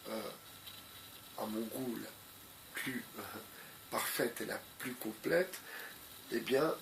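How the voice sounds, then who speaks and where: Slow, gravelly man with a cold. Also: medium pitch, noisy background. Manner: hesitant, repeats phrases, many fillers. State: has a cold. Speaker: video commentator. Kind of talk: unscripted spoken commentary.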